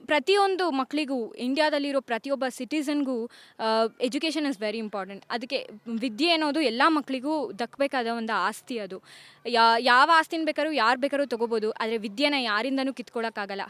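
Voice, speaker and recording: A woman giving an earnest informal speech as a contest participant, with halting starts and some English words mixed in. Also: fairly high pitch, a bit bright, no echo.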